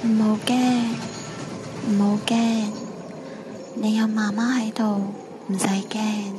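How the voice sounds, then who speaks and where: A woman's voice: mid-range voice, flat delivery, takes it slowly, background noise, lightly acted. A woman with a Hong Kong Cantonese accent playing a clingy, possessive schoolgirl ghost in a radio drama. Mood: eerily soothing.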